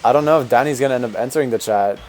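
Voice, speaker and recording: A man in his twenties with a deep voice, a game streamer talking casually with viewers while answering chat and rambling with fillers and restarts. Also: very fast, a little mellow, faint music behind. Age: 20s.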